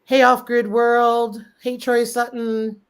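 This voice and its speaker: A woman's voice: on the high side, little pitch movement, slow, clean tone. A snuffly woman hosting a casual livestream, greeting viewers by name and giving practical homestead advice. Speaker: host.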